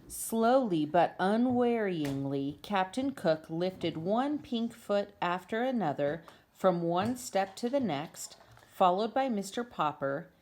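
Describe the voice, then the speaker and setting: A woman's voice: mid-range voice, expressive, slow, darkish tone. A woman reading a children's storybook aloud as narrator, in an amateur home recording. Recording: clear background noise, amateur home video.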